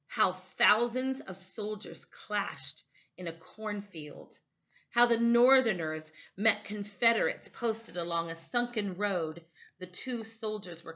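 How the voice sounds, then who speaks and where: A woman reads a children's story in a bright, forceful voice at a slow pace. Her phrasing is dramatic and deliberate, and the recording sounds a little dull. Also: on the low side. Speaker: storytime reader. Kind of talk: expressive children's story reading.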